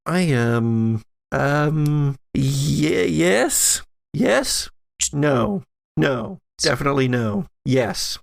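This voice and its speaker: A man's voice: on the high side, lively intonation, drawn-out words, creaky, heavily acted. A man voicing an awkward, nervous female doppelganger in a tabletop game, hesitant and stammering. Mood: confused, flustered. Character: awkward nervous female doppelganger made of slosh, theater keeper.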